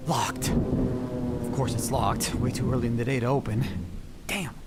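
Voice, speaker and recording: A man's voice: medium pitch, flat delivery, unhurried, loud music behind the voice, lightly acted. A man playing a frantic, pleading ventriloquist in an audio drama. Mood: muttering, frustrated. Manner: agitated, pleading, exclamatory. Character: frantic, desperate male ventriloquist searching for a missing woman.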